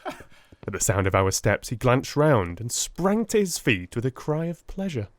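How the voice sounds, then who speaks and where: A man narrating a classic story in the first person, with theatrical, measured, literary storytelling. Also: medium pitch, very animated. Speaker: audiobook narrator.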